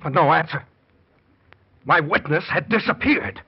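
An actor playing a scheming, nervy American husband in a classic radio crime drama, with an intense theatrical delivery. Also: male voice, on the high side, animated, unhurried. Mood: tense, frantic. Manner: intense, theatrical confessional narration. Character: scheming, nervy murderous husband confessing his plot. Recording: muffled, noisy old radio recording.

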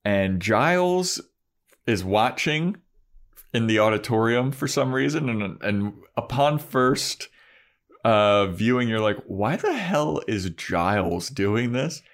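A man's voice: medium pitch, expressive, takes it slowly. A man co-hosting a podcast, casually recapping a TV episode in slangy talk and acting out quoted asides. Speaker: podcast co-host.

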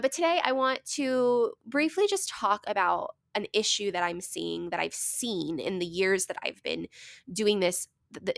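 A woman's voice: medium pitch, gravelly, a bit bright, no echo, studio quality. A woman, congested from a cold, explaining things casually on her solo podcast with many fillers. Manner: chatty, explanatory, with frequent fillers. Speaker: podcast host.

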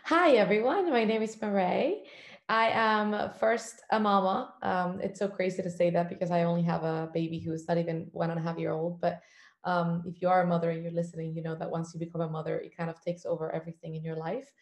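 A woman from Cuba speaks as a podcast guest, telling her story with eager energy and frequent "um"s. Her voice sits fairly low. The room around her sounds echoey and open. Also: fairly even intonation, a little fast. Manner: warm, enthusiastic storytelling with many "um" fillers. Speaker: podcast guest, travel journalist. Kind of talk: casual interview talk.

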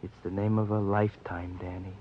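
An actor playing a grave, compassionate male doctor in an old radio drama, measured, with quaint turns of phrase. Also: sits low, level tone, natural voice. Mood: grave, concerned. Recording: muffled old radio, faint noise.

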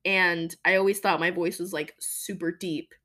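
A young woman hosting a podcast, talking casually and emphatically about her own life, with a voice she calls deeper than most women's. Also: steady tone, normal speed, bright, forceful tone. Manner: chatty, emphatic, lots of fillers. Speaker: podcast host. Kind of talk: casual personal monologue.

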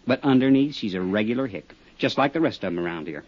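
A man acts a tough American clip-joint owner, husky and slangy, always on the defensive. His delivery swings up and down with lively streetwise bite. The sound is a dull vintage radio drama recording. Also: medium pitch, fast, close to an everyday voice. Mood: scornful. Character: tough, cagey small-town roadhouse owner, wisecracking hustler. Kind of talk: 1950s radio drama acting.

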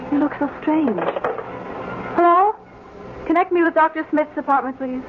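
Through a muffled, noisy vintage radio recording comes an actress playing an earnest, concerned woman with a high voice. She is gently firm and theatrical, in the manner of 1940s radio drama. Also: moderate pace, close to an everyday voice.

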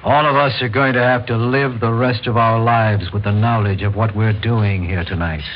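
An actor playing a principled district attorney, speaking formally and earnestly in an old-time radio drama. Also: male voice, fairly low pitch, fairly even intonation, normal speed, close to an everyday voice. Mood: formal, solemn. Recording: dull, noisy old radio recording.

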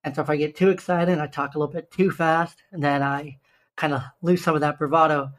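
Young man, slightly raspy and hoarse, earnest podcast host, fairly high voice. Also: steady tone. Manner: earnest, stresses numbers slowly, self-interrupting restarts. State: hoarse from shouting the day before. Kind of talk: solo podcast monologue.

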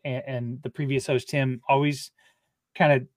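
A male podcast host with a middle-pitched voice asks questions in a relaxed, easygoing way and laughs readily. He sounds close and dry, with no room echo at all. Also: moderate pace. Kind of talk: casual interview.

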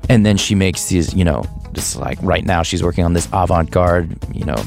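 A man's voice: deep voice, normal speed, vocal fry, a little mellow, soft background music. A man in his thirties hosting a podcast, thinking aloud in a loose, hesitant way with restarts. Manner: thoughtful, hesitant, with restarts and laughs. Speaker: podcast host. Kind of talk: reflective podcast monologue.

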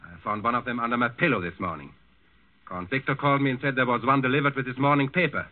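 Casting: male actor as a suspicious, commanding wartime Nazi boss, mid-pitched, impatient, narrow old radio sound. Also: fast, lightly acted. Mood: curt, irritated. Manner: curt, authoritative, impatient. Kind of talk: 1940s radio acting. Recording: tinny old 1940s radio recording.

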